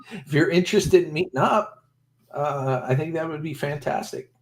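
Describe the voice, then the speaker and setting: A man hosting a casual livestream chat with guests, friendly and halting with frequent restarts. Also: a little high. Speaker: livestream host.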